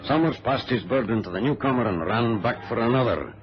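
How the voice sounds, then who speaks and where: A man's voice: medium pitch, lively intonation, brisk, natural voice. A man playing a cautious, conscientious adventurer in an old radio drama, in a dramatic style. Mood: grave narrating. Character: cautious, conscientious male adventurer recounting his exploit. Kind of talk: dramatic radio narration and dialogue. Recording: muffled vintage radio recording, faint noise.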